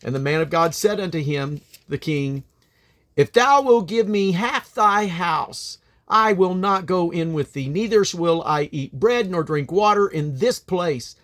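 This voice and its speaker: A man's voice: high-pitched, lively intonation, unhurried, a bit bright. A man reading the Bible aloud as a dramatic narrator, declaiming quoted speech with emphasis. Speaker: Bible reader.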